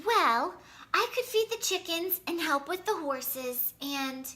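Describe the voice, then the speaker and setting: An eager young boy from a storybook, acted out by a woman reader. The voice is high and bright, polite and earnest, full of excited exclamation, at an unhurried pace. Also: full character voice.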